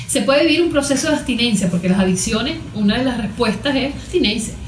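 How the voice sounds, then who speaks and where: A woman's voice: fairly high pitch, lively intonation, fast talker, soft background music. A Venezuelan woman explaining things as a guest therapist in a conversational interview, often voicing little imagined examples. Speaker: guest expert, cognitive therapist. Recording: echoey, roomy sound.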